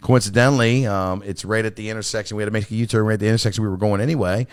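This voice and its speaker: A man's voice: on the low side, fairly even intonation, rapid-fire, clean tone, no echo, studio quality. A man hosting a podcast, chatting casually and swearing often, with lots of "you know what I mean". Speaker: podcast host. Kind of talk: casual profane chat. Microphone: studio mic.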